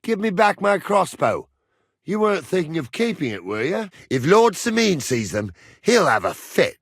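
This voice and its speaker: A gruff old soldier from a medieval video game, with a very lively, swooping pitch. He talks in a rustic, salty way and orders youngsters about with crotchety impatience. The recording is dry, with no room sound. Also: moderate pace, dry recording, exaggerated voice. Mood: irritated, bossy. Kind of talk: video game character dialogue.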